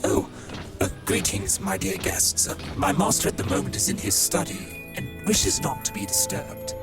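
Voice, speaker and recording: A man's voice: on the high side, huge pitch swings, moderate pace. A man playing a polite, dutiful Victorian robot butler in an audio drama, speaking with formal deference. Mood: polite, deferential. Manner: formal, deferential servant's speech. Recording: music bed under the voice.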